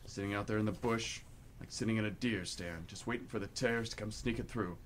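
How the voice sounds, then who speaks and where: A man's voice: on the low side, a little fast, close to an everyday voice. A man playing a menacing, boastful, drunk war veteran who bullies his siblings, taunting in folksy tough talk. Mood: boastful, needling. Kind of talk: live amateur radio theatre acting. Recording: clearly noisy amateur radio drama recording.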